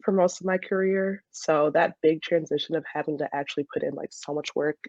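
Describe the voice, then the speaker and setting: A woman's voice: low-pitched, steady tone, fairly quick, a little mellow, no echo. Chatty young American woman, slightly dull audio. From Michigan, USA. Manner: chatty, laughs often while talking. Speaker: interview guest, former college soccer player. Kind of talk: casual conversational interview answers.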